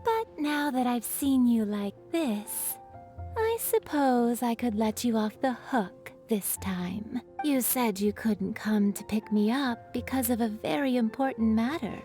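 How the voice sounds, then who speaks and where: A woman voicing a composed, teasing, confident young woman in a video game, measured and playfully stern. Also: fairly high pitch, a little mellow.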